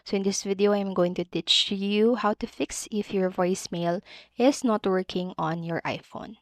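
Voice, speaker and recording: A woman's voice: medium pitch, fairly even intonation, normal speed, dry recording. Woman narrating a how-to, dry close sound. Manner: instructional, step-by-step, with stumbles over words. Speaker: tutorial host. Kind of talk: scripted how-to voice-over narration.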